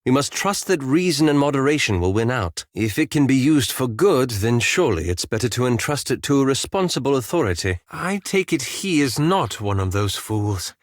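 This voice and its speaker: A man's voice: medium pitch, very animated, moderate pace, hardly any pauses, no echo, studio quality, lightly acted. An actor playing a courteous, wry and anxious scholarly male elf companion in a fantasy video game, with formal, precise enunciation. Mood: thoughtful.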